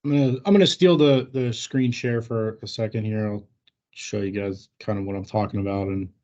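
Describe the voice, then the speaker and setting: A man's voice: lowish voice, steady tone, moderate pace, a little mellow. A man presenting informally in an online work meeting, walking colleagues through a shared screen in an easygoing way. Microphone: online meeting call. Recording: dull, lo-fi online meeting audio.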